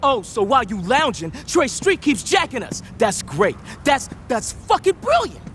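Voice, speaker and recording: An actor playing an impatient young gangster in a video game, whining, sarcastic and eager to fight, in street slang. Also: male voice, very high-pitched, big pitch swings, moderate pace, a bit bright, a little hiss or hum, heavily acted. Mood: sarcastic, angry. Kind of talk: video game cutscene acting.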